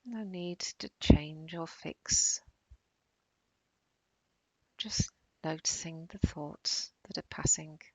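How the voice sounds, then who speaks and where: A woman guiding a body-scan meditation in a calm, soothing, unhurried way, with long pauses and soft, breathy phrase endings. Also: low-pitched, dry recording, poor audio. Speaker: meditation guide.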